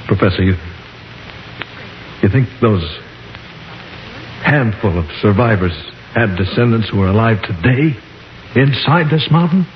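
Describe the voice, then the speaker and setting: A man's voice: lowish voice, measured pace, pauses often, close to an everyday voice. An actor playing an anxious, frightened man in an intense, melodramatic 1950s radio horror drama. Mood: fearful, disbelieving. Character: anxious, frightened man trapped in caverns, narrating his ordeal. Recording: noisy, dull, roomy 1950s radio recording.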